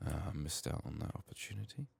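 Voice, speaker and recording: A man with a sick, strained voice murmuring soft-spoken ASMR gaming commentary, sometimes near a whisper, thinking aloud close to the mic. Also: very animated, fast talker, a little hiss or hum. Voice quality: husky, gravelly, very low. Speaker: game streamer making a playthrough.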